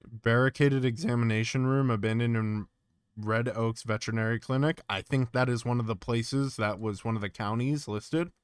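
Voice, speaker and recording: Clear-voiced man musing as he streams. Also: medium pitch, fairly even intonation, moderate pace, no echo, studio quality. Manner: musing, thinking aloud. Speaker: streamer reacting to an analog horror series. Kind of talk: casual reaction commentary, reading on-screen text aloud.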